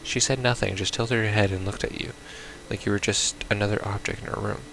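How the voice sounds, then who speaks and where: A man's voice: fairly low pitch, steady tone, a little fast, creaky, fairly bright tone, a little hiss or hum, poor audio. A man playing a visual novel, reading its text aloud casually with baffled, blunt asides. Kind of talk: casual let's play, reading game text aloud with confused reactions.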